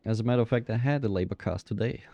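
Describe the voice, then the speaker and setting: Joking, mellow-voiced Austrian caster. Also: male voice, on the low side, very fast, lo-fi sound. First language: German. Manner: joking, laughs often, rambling asides. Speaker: esports caster. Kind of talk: casual game commentary.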